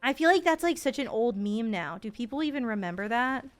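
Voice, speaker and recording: A woman's voice: on the high side, expressive, fairly quick, faint music behind. A woman in her thirties giving casual, playful gaming stream commentary, full of slang and swearing. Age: 30s.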